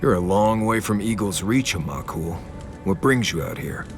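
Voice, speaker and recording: A man's voice: fairly low pitch, animated, normal speed, over music, lightly acted. A man playing a wry, blunt and watchful adventuring companion in a video game.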